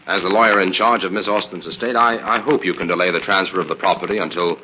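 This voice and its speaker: An actor playing a shrewd, genial gentleman detective in 1940s radio drama style. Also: deep voice, fairly even intonation, fast talker. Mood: earnest, confident. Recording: dull, hissy old radio recording.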